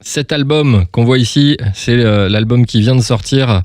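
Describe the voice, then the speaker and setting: A man hosts an informal radio interview in a mid-pitched voice. The sound is dry and close to the microphone. His questions ramble, starting and restarting before they land. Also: measured pace, a little mellow, dry recording.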